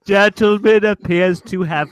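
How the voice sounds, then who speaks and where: A man's voice: high-pitched, steady tone, unhurried, clear, resonant tone, no room sound. A man playing a muddled, dutiful, apologetic servant in a comic radio-play parody, hesitant and repetitive, over a noisy connection. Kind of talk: improvised comic parody of old-time radio drama.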